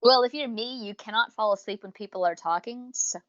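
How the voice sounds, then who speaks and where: A woman's voice: on the high side, brisk, dry recording, muffled recording. A woman co-hosting a casual review podcast, chatting in rambling explanations with quoted asides. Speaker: podcast co-host reviewing audios.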